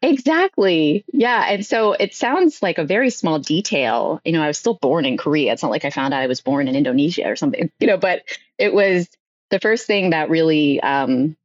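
A woman's voice: low-pitched, animated, normal speed, dry recording, lo-fi sound. A woman telling a personal story as a podcast guest, conversationally, often acting out bits of dialogue.

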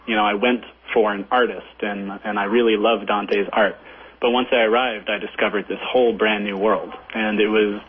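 A man with a lowish voice talks as an interview guest. He answers conversationally. He comes through a narrow phone connection with faint noise. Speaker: film director, interview guest. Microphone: phone call.

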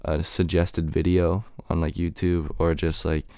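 Young male rapper, deep raspy voice, hesitant and filler-heavy, dry close recording. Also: flat delivery, warm tone, dry recording. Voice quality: raspy and deep right now. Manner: halting, many "um" and "like" fillers. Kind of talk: casual interview answers.

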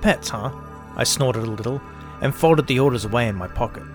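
A man's voice: mid-range voice, quick pace, soft background music. A man hosting and narrating scripted science fiction audio stories in the first person. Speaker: host and narrator.

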